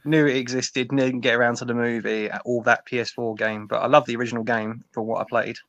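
A bright, forward-voiced guy on a panel podcast, chatting casually with no room around him. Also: mid-range voice, flat delivery, fairly quick, no room sound. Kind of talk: casual chat.

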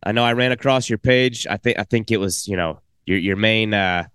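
A man's voice: fairly low pitch, fairly quick, a bit bright, clean tone, no echo, clean studio sound. A man hosting a casual podcast interview, talking enthusiastically and rambling with frequent restarts. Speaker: podcast host.

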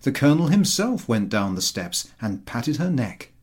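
A man's voice: medium pitch, big pitch swings, moderate pace, darkish tone, slightly rough. A man reading a literary short story aloud as scripted narration. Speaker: audiobook reader.

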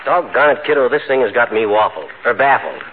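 An actor playing a vain, boastful middle-aged husband in a 1940s radio comedy. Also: male voice, mid-range voice, big pitch swings, brisk, noisy background, natural voice. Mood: puzzled, preening. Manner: boastful, falsely modest. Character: vain, blustering middle-aged husband fancying himself irresistible. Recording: tinny 1940s radio recording.

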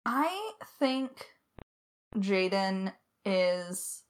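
A woman's voice: medium pitch, sing-song, very slow. A woman chatting casually and off the cuff, playfully insistent, with hesitant starts and side tangents. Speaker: audio drama lead writer answering questions. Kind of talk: casual unscripted chat.

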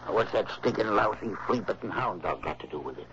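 An actor playing a short-tempered, blustering killer and blackmailer in a 1960s radio drama, stammering through slangy tough talk. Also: male voice, on the low side, animated, fairly quick, natural voice. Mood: defensive, irritated. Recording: noisy, dull old radio recording.

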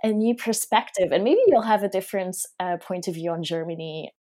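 A woman's voice: fairly low pitch, fairly quick, dark tone. A woman hosting a podcast, speaking in the style of a casual interview with introductions. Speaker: podcast host.

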